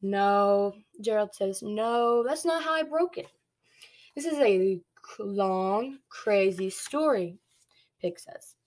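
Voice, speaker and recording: A woman's voice: medium pitch, lively intonation, takes it slowly, a little mellow. A young woman reading a picture book aloud to small children, stopping to explain and ask them questions. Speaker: preschool read-along storyteller. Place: small dim bedroom, indoors.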